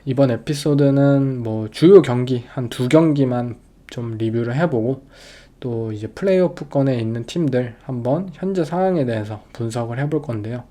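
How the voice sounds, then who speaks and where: A man with an average-pitched voice, congested and a little hoarse from a cold. He talks like a sports analyst hosting a review show, explaining step by step and dropping in English jargon. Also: normal speed, warm tone. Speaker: podcast host analysing football games.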